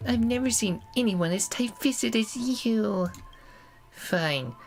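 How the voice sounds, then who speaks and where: A woman's voice: medium pitch, expressive, slow, a little mellow, faint music behind. A woman voicing a sly, philosophical trickster in a video game, with a theatrical, teasing delivery. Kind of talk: scripted video game character acting.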